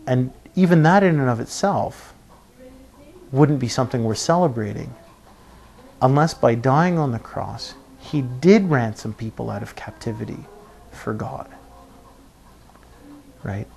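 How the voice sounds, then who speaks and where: A man giving an informal expository sermon as a preacher, halting and thinking aloud, often checking in with a short tag question. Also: medium pitch, expressive, gravelly, darkish tone. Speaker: preacher teaching a Bible passage.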